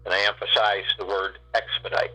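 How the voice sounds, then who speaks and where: A man guiding a spiritual meditation, speaking in slow, drawn-out phrases with long pauses. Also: lowish voice, little pitch movement, sharp tone, slight background noise, poor audio. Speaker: meditation guide.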